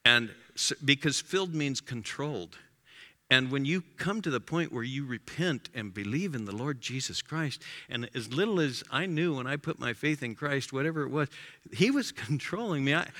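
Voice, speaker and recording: Lively, bright-voiced male preacher. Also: mid-range voice, big-room sound. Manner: emphatic, rising to loud exclamations. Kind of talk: sermon.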